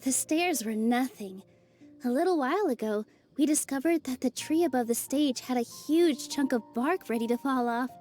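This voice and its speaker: A cheerful, eager young dancer, played by an actress, chatters in a bubbly, high voice. Her tone stays warm and mellow. Game music runs faintly underneath. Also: moderate pace, close to an everyday voice. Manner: bubbly, animated. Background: game soundtrack music.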